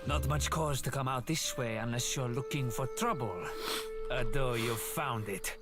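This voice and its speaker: A man's voice: medium pitch, measured pace, lightly acted. An actor playing a cocky young male street gang boss in a video game, speaking with a menacing drawl. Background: game music.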